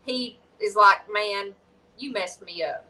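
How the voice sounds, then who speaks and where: A woman's voice: medium pitch, slow pace. A woman, chatting frankly and easily as a guest crafter. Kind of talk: casual chat. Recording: bright voice, distant from mic.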